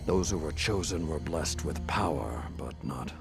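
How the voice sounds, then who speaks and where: An actor playing a grave, world-weary old warrior lord in a video game, telling of things solemnly and deliberately in a gravelly voice. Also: male voice, expressive, full character voice. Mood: solemn, regretful. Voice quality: deep, gravelly. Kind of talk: dramatic video game acting. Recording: loud music behind the voice. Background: loud music.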